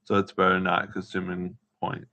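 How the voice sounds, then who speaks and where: A man giving a casual, rambling show-and-tell as an amateur vlogger, haltingly searching for words and repeating himself, on muffled home video audio. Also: sits low, completely flat delivery, pushed and bright. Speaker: amateur vlogger showing gear.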